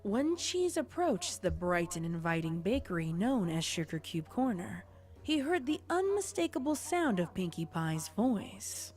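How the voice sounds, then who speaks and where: A woman reading scripted story narration in a measured, dramatic way. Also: mid-range voice, sing-song, darkish tone, faint music behind. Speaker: fan fiction narrator.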